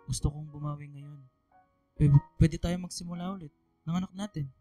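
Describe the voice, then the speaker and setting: A man's voice: fairly high pitch, fairly even intonation, brisk, mellow, soft background music, close to an everyday voice. An actor playing a remorseful older father in a radio drama, earnest and apologetic, with a Filipino accent. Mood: remorseful, pleading. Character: remorseful older father returning to abandoned family.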